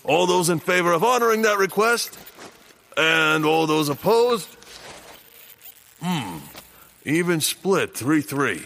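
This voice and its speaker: A man's voice: a little high, sing-song, slow pace, gravelly, pauses often, a bit bright, slight background noise, heavily acted. A man playing a dim, folksy pirate henchman with hesitant thug talk in a comic audio drama. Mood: deliberating. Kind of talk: audio comedy drama acting.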